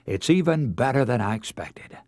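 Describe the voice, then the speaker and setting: An excited young runaway son as a male preacher acts him out in a radio sermon. He is carefree, boastful and a little defiant, with lively swinging intonation and a dry, close sound. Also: medium pitch, normal speed, no room sound. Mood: delighted. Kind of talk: acted quotes in a radio sermon.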